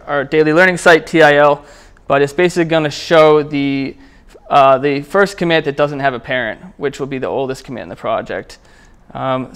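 Clear-voiced man giving a casual tech talk. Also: on the high side. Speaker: presenter at a programming meetup. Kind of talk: casual technical talk with live demo. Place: indoor meetup room, speaking before a small group.

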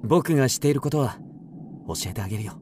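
An actor playing a gentle, educated, protective young soldier, calm and explanatory, in a radio drama. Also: male voice, mid-range voice, quick pace, background noise, lightly acted. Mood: kindly teaching. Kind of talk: Japanese radio drama acting.

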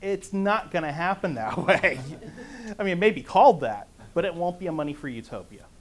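A man's voice: a little high, brisk. Man giving a conversational talk. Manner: conversational, lively, asks the audience "right?". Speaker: conference speaker. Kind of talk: lecture on economics. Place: conference room with audience.

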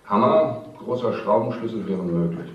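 A dry, deliberate police investigator, acted by a man in a radio crime drama. His lowish voice comes through strong echo, as if from far off. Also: lively intonation, lightly acted. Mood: dry, factual. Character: matter-of-fact police investigator. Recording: very echoey, distant, dull.

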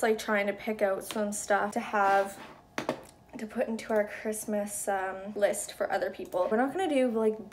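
A woman's voice: medium pitch, a little mellow, a little hiss or hum. A young adult woman talking informally and rambling in a casual home vlog, recorded indoors on a handheld device at arm's length. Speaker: vlogger. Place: indoors in an apartment. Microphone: phone or camera at arm's length.

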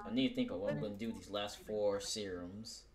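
A man's voice: on the low side, fairly even intonation, quick pace, close to an everyday voice. A man playing a cocky, swearing werewolf in a casual, improvised game roleplay. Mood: cocky, dismissive. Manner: brash, repetitive, swearing. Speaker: roleplay player and lead actor. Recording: noisy home game mic.

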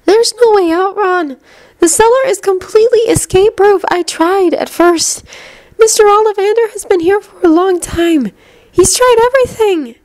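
A woman voicing a calm, caring teenage girl held prisoner in a story, soft-spoken and gently firm. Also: very high voice, normal speed, no echo, pitched above the performer's own voice, poor audio. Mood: quiet, grave.

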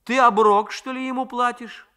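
A man's voice: very high-pitched, steady tone, normal speed, bright, forceful tone, clean tone, voice pushed up. Man putting on a scolding, bossy old grandmother. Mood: indignant. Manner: scolding, exclamatory. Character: bossy, indignant old provincial grandmother, fussy and loving.

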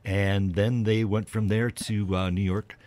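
A man's voice: lowish voice, flat delivery, unhurried, darkish tone. A man co-hosting a radio show, chatting casually and explaining things in an informal, hesitant way. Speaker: radio co-host. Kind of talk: casual music chat.

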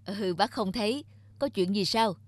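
A woman's voice: medium pitch, moderate pace, no room sound, lightly acted. A woman voicing a nosy, kindly middle-aged neighbor in a cartoon, chatty and familiar. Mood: curious, teasing. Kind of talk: cartoon voice acting.

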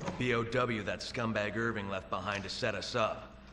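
A man playing a gruff, weary soldier in an action game, with an American accent and terse military delivery. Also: on the low side, steady tone, moderate pace, background noise, lightly acted. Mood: grim. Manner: terse military radio talk. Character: gruff, weary male soldier, tough and duty-bound. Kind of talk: cinematic action game acting. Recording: loud game soundtrack behind.

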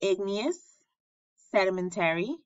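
A woman reading aloud to children as a teacher, deliberate and clearly enunciated, in an instructional way. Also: mid-range voice, lively intonation, dry recording, muffled recording. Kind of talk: children's read-aloud lesson narration.

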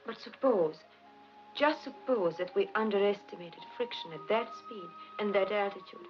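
Woman acting devoted female scientist, mid-pitched voice, earnest pauses, muffled old film soundtrack, faint music behind. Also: natural voice. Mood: urgent, reasoning. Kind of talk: 1950s film drama acting.

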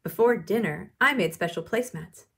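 A woman's voice: mid-range voice, normal speed, gravelly, slightly rough. A woman reading the parts of eager, proud young schoolgirls in a storybook, in an emphatic show-and-tell manner.

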